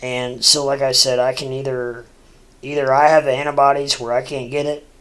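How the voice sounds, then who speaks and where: A man rambling through a casual livestream, stuffy and congested, halting with lots of fillers and restarts. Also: mid-range voice, flat delivery, a bit bright, smooth. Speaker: crypto livestreamer. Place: home room, seated at a desk.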